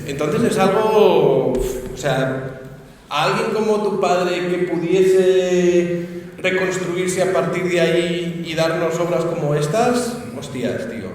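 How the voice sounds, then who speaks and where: Panel speaker, man, slangy and animated, pitched a bit high, caught from far off in a big echoey hall. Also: slight background noise. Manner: colloquial, swears freely, animated. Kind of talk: casual round-table talk. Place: large conference hall.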